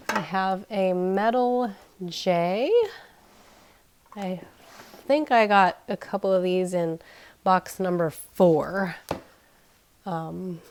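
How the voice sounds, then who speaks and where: A woman in her thirties, nasal and crackly as she recovers from being sick, chatting casually while unboxing at home. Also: fairly low pitch. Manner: chatty, thinking aloud, self-deprecating. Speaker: unboxing vlogger. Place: indoors at home, carpeted floor. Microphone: camera pointed down at items, speaker off-screen.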